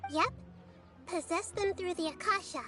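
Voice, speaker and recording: High-voiced, wise little schemer. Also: female voice, loud music behind the voice. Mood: calm scheming. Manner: measured, gentle, composed. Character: small, calm, wise young female schemer. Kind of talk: video game character acting.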